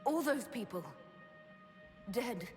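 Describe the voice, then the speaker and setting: Young mage prisoner, echoing hall. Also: female voice, a little high, lively intonation, slow, a little mellow. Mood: shaken, bewildered. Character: earnest, bewildered female mage held prisoner. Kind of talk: dramatic video game acting. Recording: long hall reverb, music behind.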